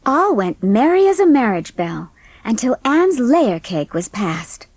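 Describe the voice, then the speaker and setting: Slightly husky woman narrating a story. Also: a little high, very animated, unhurried, a little mellow, dry recording. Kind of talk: scripted audiobook storytelling.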